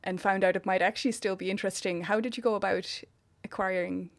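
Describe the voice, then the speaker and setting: A woman interviewing a guest in a relaxed chat, friendly and quick to laugh. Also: mid-range voice, gravelly, no echo. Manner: chatty, laughs often, many back-channel yeahs.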